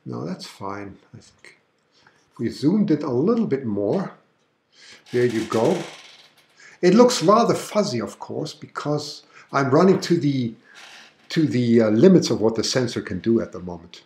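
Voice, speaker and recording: A man's voice: medium pitch, very animated, unhurried, darkish tone, echoey room. A man from Germany with a mixed international accent, hosting a live eclipse stream from his backyard at night, talking in an enthusiastic, chatty way and often restarting his words. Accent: mixed international with German roots. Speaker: livestream host showing an eclipse. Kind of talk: live commentary.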